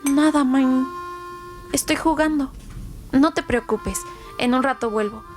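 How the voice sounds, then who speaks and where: A woman's voice: high voice, measured pace, faint music behind. A woman playing a cold, sadistic, withdrawn young boy, confessing in a detached, matter-of-fact way in a radio play. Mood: falsely innocent. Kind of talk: dramatic first-person radio play monologue.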